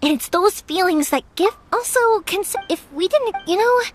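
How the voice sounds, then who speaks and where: High-pitched, cheerful young fireworks maker. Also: female voice, moderate pace. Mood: sincere, reflective. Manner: earnest, animated, hesitant when sincere. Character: cheerful sentimental young woman who makes fireworks. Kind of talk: anime game voice acting. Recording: game audio with music behind.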